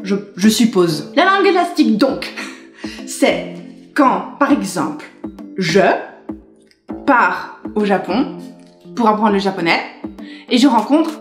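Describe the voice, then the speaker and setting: A woman in her twenties vlogging to camera in a chatty, rambling, animated way, gesturing as she talks. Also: mid-range voice, slow pace, long pauses. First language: French. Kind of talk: casual chat to camera. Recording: echoey room with faint music.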